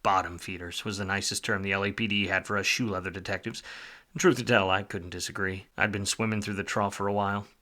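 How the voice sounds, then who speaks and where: An actor playing a world-weary, wisecracking private detective in a film noir radio play, wry and slangy. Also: male voice, on the low side, steady tone, fast, sharp tone. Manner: hard-boiled, wry, slangy.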